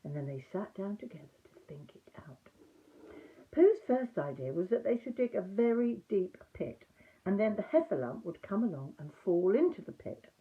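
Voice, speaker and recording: An older woman narrates a children's storybook in an expressive storyteller's style. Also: medium pitch, moderate pace. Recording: muffled, narrow phone-like audio.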